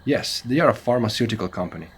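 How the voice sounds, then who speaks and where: A man's voice: on the low side, expressive, quick pace, husky, heavily acted. A man playing a serious, cautious monster hunter with a Spanish accent, speaking in earnest, formal phrases with Spanish interjections in a comedy horror audio drama. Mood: grave, explanatory.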